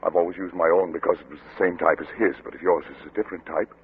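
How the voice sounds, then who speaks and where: A man acting a troubled, obsessive middle-aged doctor and father in an old radio drama, with grave, formal, deliberate delivery. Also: sits low, steady tone, a little hiss or hum. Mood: urgent, determined. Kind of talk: 1940s radio drama acting with first-person narration. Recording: muffled old radio recording with music.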